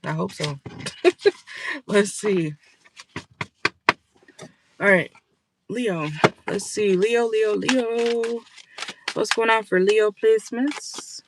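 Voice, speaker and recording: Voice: medium pitch, drawn-out words, pauses often, noisy background. A tarot reader giving a casual reading for viewers from a car, blunt and profane, tagging lines with "okay?", and losing their voice.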